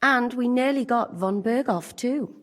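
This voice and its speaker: A composed, brisk rebel woman, played by an actress in a medieval game cutscene. Her confident voice sits slightly high and carries a long hall reverb. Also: natural voice. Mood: proud. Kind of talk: dramatic video game cutscene acting.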